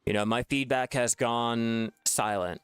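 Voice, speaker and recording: A man's voice: medium pitch, steady tone, unhurried, clear, resonant tone, dry recording, faint music behind. Profane, frustrated man ranting. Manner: frustrated, profane, repetitive restarts. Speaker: gaming video creator. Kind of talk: ranting gameplay commentary.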